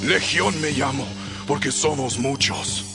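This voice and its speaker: A legion of demons speaking through a possessed man in a scripture drama. The voice cries out in torment and pleads, with very loud music behind it. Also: mid-range voice, unhurried, vocal fry. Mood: menacing. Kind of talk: dramatized scripture audiobook acting.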